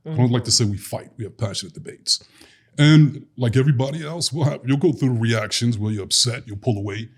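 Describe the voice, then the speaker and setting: Man, 50s, Brooklyn, deep smooth voice, New York accent, husky edge. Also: brisk. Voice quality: deep laid-back voice. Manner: smooth, radio-host-like delivery. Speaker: guest, relationship coach. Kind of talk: casual podcast chat.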